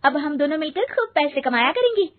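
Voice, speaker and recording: A woman's voice: high voice, very animated, brisk, no room sound, narrow, tinny audio, voice pushed up. A woman voicing an eager, anxious young daughter-in-law in a cartoon story.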